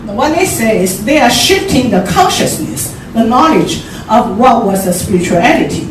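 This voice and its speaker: A middle-aged woman with a Taiwanese accent giving an informal forum talk, haltingly, searching for words. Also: on the high side, very animated. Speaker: forum speaker. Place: large echoey indoor room at a forum. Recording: very echoey room, distant mic, clear noise.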